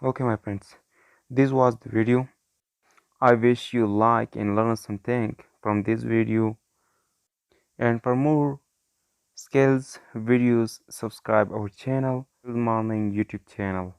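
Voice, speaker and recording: A man who is a non-native English speaker, hosting an informal software tutorial in simple, short, halting phrases. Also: medium pitch, fairly even intonation, creaky, clear, resonant tone, dry recording. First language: not English. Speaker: tutorial host.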